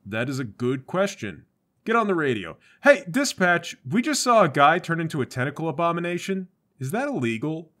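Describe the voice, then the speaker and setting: Casting: man improvising a bossy, indignant comedy cop, slightly high-pitched and very animated. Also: moderate pace. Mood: alarmed. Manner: barking, indignant questions and orders. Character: bossy, exasperated, irresponsible male police officer. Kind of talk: improvised comedy tabletop roleplay.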